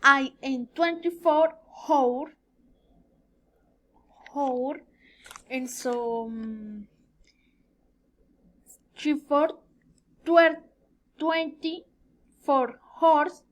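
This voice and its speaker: Woman, twenties, Colombian Spanish accent, native Spanish speaker, high-pitched, very slow, halting student presentation. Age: 20s. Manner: halting, searching for words. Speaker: student giving an oral presentation. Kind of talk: rehearsed self-introduction for a language class.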